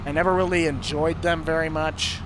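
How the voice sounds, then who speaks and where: A man's voice: fairly high pitch, brisk. A man chatting casually on a live stream while playing a game at home, answering viewer questions in an offhand way. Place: home room. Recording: loud steady background hum.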